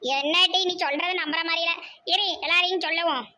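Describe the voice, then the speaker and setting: A bossy village mother played by a woman with a very high, sharp cartoon voice. She chatters brashly in a southern Tamil Nadu accent, mocking and teasing whoever she is talking to. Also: a little fast. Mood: dismissive. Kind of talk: amateur cartoon comedy voice acting.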